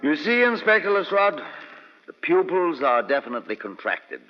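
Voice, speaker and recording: Stern male doctor, mid-pitched, formal, lively intonation, muffled old radio. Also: moderate pace, big-room sound, faint music behind. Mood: clinical, curt. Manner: formal, curt. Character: Doctor Tillinghast, stern, clinical physician. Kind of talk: old-time radio drama acting.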